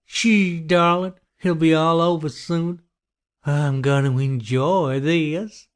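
Man acting out a deranged killer, slow taunting, raised pitch. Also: fairly even intonation. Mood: gloating. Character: deranged sadistic male killer hitchhiker, gloating and menacing.

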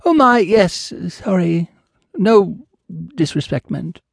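An elderly ghost witch, motherly and meddling, fussing over a young friend, as a man puts her on. Her high voice swings wildly in pitch and has a gravelly crackle, and she drags her words out slowly. The recording is dry and close. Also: sing-song, no room sound, full character voice. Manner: fluttery, motherly, teasing, calls others dearie. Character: old female ghost witch, doting and nosy.

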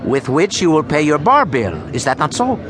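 An actor playing a portly, obsequious tavern keeper and storyteller in an audio drama, deferential with a sly, dry edge. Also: male voice, a little high, very animated, normal speed, a crowd in the background. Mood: wry awe. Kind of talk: audio drama acting with narration.

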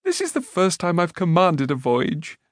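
A cheerful, scatterbrained young prince in an audiobook, as a man puts him on. The voice is pitched well up and bounces around as he chatters breezily. The recording is dry and close. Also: wildly swooping pitch, moderate pace, no echo. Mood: breezy. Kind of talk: audiobook character voice.

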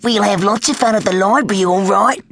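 A woman's voice: medium pitch, brisk, creaky, pitched above the performer's own voice, close to an everyday voice. A woman voicing sly, falsely sweet young pig troublemakers in a children's storybook. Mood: sly, gleeful.

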